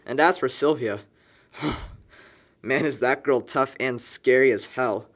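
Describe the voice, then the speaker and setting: A young man, acted by a man, chatting naturalistically with a buddy in a film drama. He is wry and talkative, spinning out slangy stories with emphasis at an ordinary male pitch. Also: slow pace, fairly bright tone. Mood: opinionated. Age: young adult. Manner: slangy, rambling, emphatic storytelling. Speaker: actor in a scripted film.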